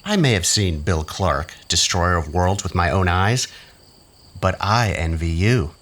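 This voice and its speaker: An actor playing a sly, mocking schemer in an audio drama, with theatrical, insinuating delivery. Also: male voice, deep voice, expressive, unhurried, a bit bright, full character voice. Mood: taunting.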